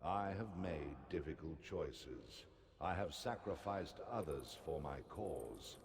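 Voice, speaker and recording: A very low-voiced ancient god from a fantasy game, grave and commanding. He speaks formally and deliberately, weighed down by regret. Clear ambient noise sits behind him. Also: lively intonation, heavily acted. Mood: solemn regret. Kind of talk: scripted fantasy game acting. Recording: noticeable background ambience noise.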